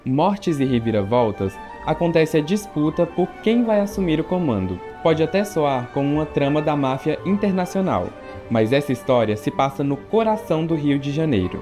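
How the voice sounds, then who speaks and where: Man narrating over music. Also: fairly high pitch. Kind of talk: scripted news narration.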